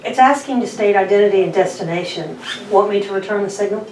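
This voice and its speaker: A woman's voice: mid-range voice, fast talker, a little mellow, lightly acted. A woman playing a dutiful spaceship navigator at a sci-fi table read. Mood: alert. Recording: echoey room, distant mic, faint noise.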